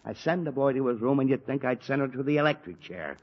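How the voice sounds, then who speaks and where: A man's voice: mid-range voice, lively intonation, fast talker. An actor playing an exasperated middle-aged father who sputters and grumbles, in 1940s radio acting. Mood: muttering. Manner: blustery, sputtering, often cut off mid-sentence. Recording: dull lo-fi 1940s radio recording.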